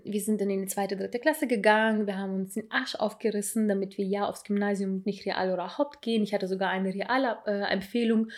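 A woman's voice: mid-range voice, darkish tone. A woman around thirty in casual conversation, telling a personal story candidly, with restarts and self-mocking asides. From abroad, came to Germany at ten. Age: 30s.

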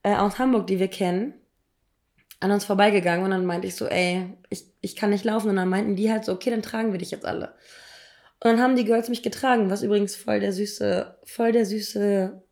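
A woman in her thirties, hoarse from illness, tells personal stories in a casual, emphatic, slangy way. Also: medium pitch, fairly even intonation, brisk, a little mellow. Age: 30s. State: hoarse, ill. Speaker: podcast co-host.

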